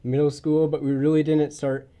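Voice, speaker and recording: A man's voice: a little high, little pitch movement, normal speed, mellow, clear, resonant tone. A young man hosting a podcast in a casual, informal way, congested from a head cold. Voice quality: congested, stuffy nose. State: getting over a head cold. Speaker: podcast host. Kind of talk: casual podcast introduction and interview. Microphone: podcast studio mic, wearing face mask or shield.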